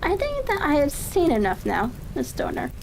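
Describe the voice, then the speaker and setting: Woman reading as a high-pitched, inquisitive Victorian detective man. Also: wildly swooping pitch, normal speed, creaky, a little mellow, a little hiss or hum, heavily acted, low-quality recording. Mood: intent. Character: brisk, keenly observant male Victorian detective.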